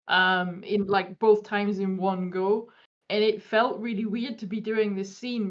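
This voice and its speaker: A woman who does voice acting, talking casually in a livestream discussion. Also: medium pitch. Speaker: voice actor. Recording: slightly dull lo-fi microphone.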